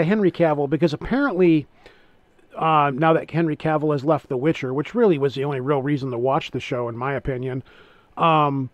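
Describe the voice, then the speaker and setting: A man's voice: medium pitch, no room sound. Dry-voiced man chatting on a podcast. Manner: rambling, restarts often, loses words. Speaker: podcast co-host. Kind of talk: casual chat.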